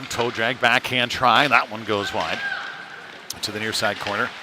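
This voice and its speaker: A man's voice: on the low side, fairly even intonation, unhurried. Man calling hockey over rink noise. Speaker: play-by-play commentator. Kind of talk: live youth hockey commentary. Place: indoor ice rink. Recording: noisy live rink stream, background din.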